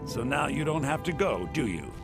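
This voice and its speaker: A man's voice: mid-range voice, sing-song, normal speed, natural voice. A man playing an exasperated, fussy shopkeeper in a video game, emphatic and prone to stammering when flustered. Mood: flustered, salesmanlike. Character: exasperated fussy male shopkeeper, bossy older brother. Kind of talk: video game character acting. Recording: loud music behind the voice.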